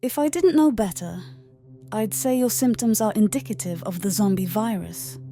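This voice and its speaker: A woman playing a calm, reassuring doctor in an audio comic, with a soothing, measured manner. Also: medium pitch, close to an everyday voice. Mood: calm concern. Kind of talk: audio comic dramatic acting.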